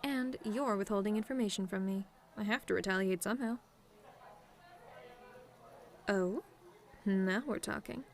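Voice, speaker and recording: A woman's voice: medium pitch, normal speed, close to an everyday voice. A woman playing a cocky, sarcastic tomboy demon with a casual, teasing delivery in an audio roleplay. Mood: smug teasing, then wry bargaining. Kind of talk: ASMR-style scripted roleplay monologue.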